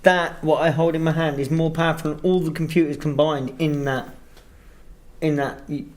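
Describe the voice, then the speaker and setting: A man's voice: on the high side, steady tone, moderate pace. A man with an English accent that drifts toward Australian, co-hosting a casual podcast chat and ranting with plenty of swearing. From England. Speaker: podcast co-host. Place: Sydney.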